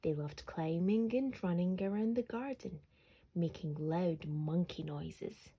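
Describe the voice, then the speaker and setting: Mellow, lowish-voiced woman reading a bedtime story. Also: expressive, a little slow, breathy edge. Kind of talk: children's bedtime story narration.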